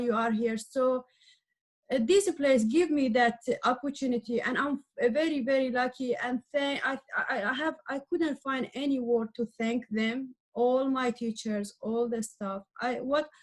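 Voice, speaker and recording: A middle-aged woman from Iran whose first language is Arabic, answering interview questions in English with many pauses and restarts as she searches for words. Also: high-pitched. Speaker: adult learner guest.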